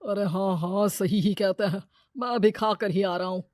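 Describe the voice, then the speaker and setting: A woman's voice: medium pitch, fairly even intonation, moderate pace, a little mellow, dry recording, studio quality, lightly acted. A woman voicing a greedy, scheming village moneylender, a brusque and bossy husband in a cartoon moral story.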